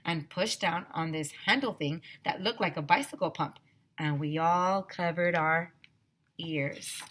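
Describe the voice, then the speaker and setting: A woman teacher reading a children's story aloud, narrating in an expressive, emphatic way. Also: fairly low pitch, unhurried.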